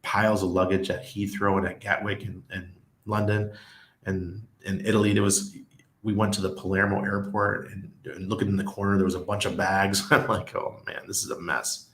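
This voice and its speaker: A man in his forties with a Western New York accent, talking in a low voice with a fairly flat, even pitch. He chats casually and chuckles often while reading viewer comments aloud. His voice rings in an echoey home room. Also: moderate pace. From Western New York, USA. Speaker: livestream host. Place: small home room with neon shelf lights. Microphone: desk mic on a stand beside him.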